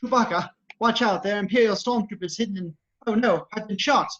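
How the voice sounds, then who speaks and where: A man's voice: high voice, fairly quick, a bit bright. A man playing a fussy, panicky protocol droid in an amateur script read, fretful and prissy. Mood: panicked. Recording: dull lo-fi microphone.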